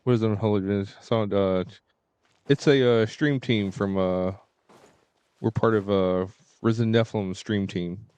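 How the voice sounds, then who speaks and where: A man livestreaming a game and chatting casually with viewers in a halting, informal, repetitive way. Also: lowish voice, steady tone, darkish tone, clean tone, dry recording. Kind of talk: casual chat with stream viewers.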